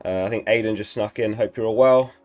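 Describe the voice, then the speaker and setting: A man hosting a casual live stream, a little hoarse from losing his voice, chatting and reading out viewer messages. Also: fairly low pitch, a little fast, darkish tone, faint music behind. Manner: reads out chat messages, chatty and upbeat. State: slightly hoarse, lost voice. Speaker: livestream host.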